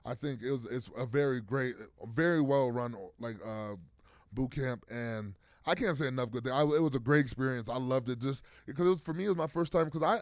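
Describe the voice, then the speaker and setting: A man's voice: medium pitch, fairly quick, no room sound, clean studio sound. A man in his thirties, a football player guest, talking casually in an interview with lots of fillers and restarts. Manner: rambling, many fillers and restarts.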